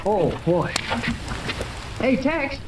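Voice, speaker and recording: Thirties radio adventure, excitable boyish wireless kid, eager and slangy, noisy echoey old recording. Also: male voice, high voice, very slow, heavily acted. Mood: excited, anxious. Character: eager, curious boyish young radio operator. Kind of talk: 1930s radio serial acting.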